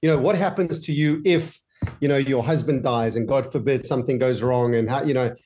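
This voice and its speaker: A man's voice: medium pitch, brisk. Guy in his 40s, mixed south african and aussie accent, laid-back rambly talk on a video call from home. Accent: mixed South African and Australian. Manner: relaxed, many fillers and restarts. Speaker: guest entrepreneur. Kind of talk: casual interview chat. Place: home office.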